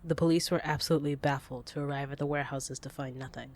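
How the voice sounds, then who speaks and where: A man playing a matter-of-fact crime lieutenant in an audio drama, speaking in a measured, businesslike way. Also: on the high side, steady tone, darkish tone, close to an everyday voice. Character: matter-of-fact male crime lieutenant briefing his boss. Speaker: voice actor. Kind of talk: audio roleplay drama acting.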